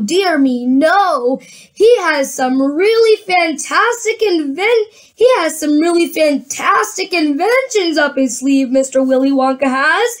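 Woman, high voice, storybook read-aloud, character voices, stops to correct herself. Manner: reads with frequent restarts and chatty asides. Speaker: reader of a children's book.

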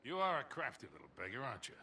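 A man's voice: mid-range voice, huge pitch swings, very fast, pushed and bright, slight background noise, exaggerated voice. A man playing a street-smart, middle-aged American con man in a video game, wry and teasing with easy authority. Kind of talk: cinematic video game acting.

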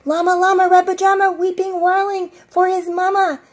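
Middle aged lady doing story time for little kids, high voice, kinda sing-song, stretches out line endings. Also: level tone, moderate pace. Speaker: preschool teacher reading a storybook. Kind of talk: children's story read-aloud. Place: outdoors on a covered porch.

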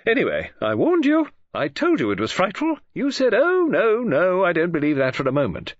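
A man reading an audiobook and voicing an excitable, restless older woman novelist who talks in a high, gushing, emphatic way. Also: moderate pace, dry recording, exaggerated voice. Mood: exasperated. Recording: dull, dry close recording.

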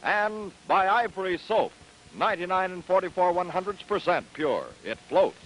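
A man's voice: high-pitched, expressive, moderate pace, a bit bright, slight background noise, low-quality recording. An American man announcing ads and credits on a 1950s television broadcast in a polished, promotional style. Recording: old TV broadcast, music, crowd behind.

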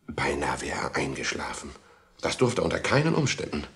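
A man narrating a children's radio play in an expressive, suspenseful storytelling style with a gravelly voice. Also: rumbling low. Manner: expressive, suspenseful, with whispered asides and dramatic pauses.

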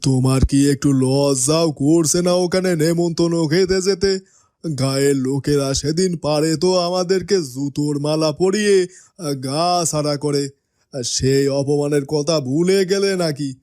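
A man's voice: a little high, normal speed, warm tone, lightly acted. An actor voicing a grumbling, reproachful former village headman in a cartoon. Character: aggrieved older ex-village-headman. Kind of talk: cartoon dubbing.

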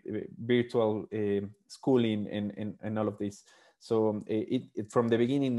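Mellow-voiced founder from Ecuador. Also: male voice, mid-range voice. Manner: earnest, fluent with frequent fillers. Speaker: startup founder. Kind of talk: video call interview. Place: small room indoors. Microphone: earphone mic on video call.

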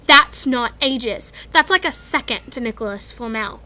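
A bossy, studious schoolgirl frets about exams in snappish, drawn-out lines, as a woman reading a storybook puts her on. The voice is high and bright, pressing hard on each word. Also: lively intonation, heavily acted.

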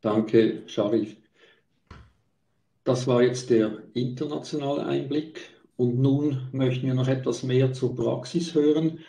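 Gravelly-voiced male conference moderator. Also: mid-range voice, warm tone, reverberant.

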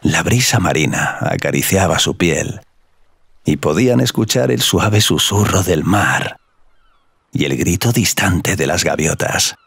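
A man's voice: low-pitched, expressive, unhurried, vocal fry, a bit bright, husky, no room sound. A man narrating a scripted romantic audio story as a storyteller. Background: music.

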